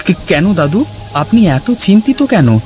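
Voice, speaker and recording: A man's voice: high voice, very animated, unhurried, darkish tone, soft background music. A man voicing a timid, anxious village boy in a cartoon, hesitant and prone to stammering. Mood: worried. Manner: hesitant, stammering when afraid. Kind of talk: cartoon character dialogue.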